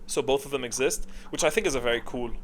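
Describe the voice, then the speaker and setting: An ordinary mid-pitched male voice, recorded with some background noise. He talks like a video creator ranking things off the cuff, blunt and informal. He often stalls mid-sentence as he works out his opinion. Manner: opinionated, informal, mildly profane; halting, thinking aloud mid-sentence. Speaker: video creator giving a tier list. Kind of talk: casual off-the-cuff ranking commentary. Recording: clearly audible background noise.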